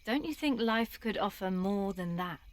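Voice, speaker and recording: A woman's voice: mid-range voice, lively intonation, normal speed, lightly acted. Casting: actress as level-headed woman soldier for a game, mid-range voice, firm, dry, composed. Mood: earnest, firm. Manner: composed, persuasive. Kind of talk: video game cutscene acting.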